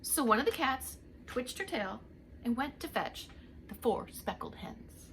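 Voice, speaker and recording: A woman's voice: high-pitched, expressive, a little slow, a bit bright, slight background noise. A woman reading a story aloud to young children like a preschool teacher, theatrically drawing out the action words and talking to her listeners.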